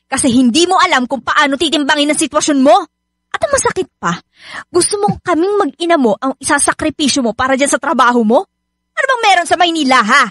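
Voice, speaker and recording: A woman's voice: high-pitched, lively intonation, fast talker, a bit bright, no room sound, clean studio sound. A Filipino-accented woman acting in a radio drama as a hurt, suspicious young wife and mother who keeps insisting. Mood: confrontational, hurt.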